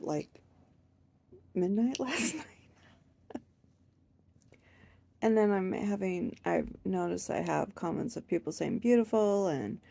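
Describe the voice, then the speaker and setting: A low, slow-talking woman, her voice scratchy and congested from a cold. She rambles through a casual home vlog, laughing at herself often. Her tone is dark and mellow. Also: creaky. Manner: rambling, self-deprecating with frequent laughs. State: hoarse, stuffed up from a cold. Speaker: crochet vlogger. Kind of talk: casual chat to camera.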